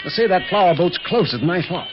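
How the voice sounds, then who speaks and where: A man's voice: sits high, very animated, fast talker. An actor playing a cautious, clipped secret agent who leads his team in a 1930s radio serial. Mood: firm. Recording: muffled old radio recording, music behind.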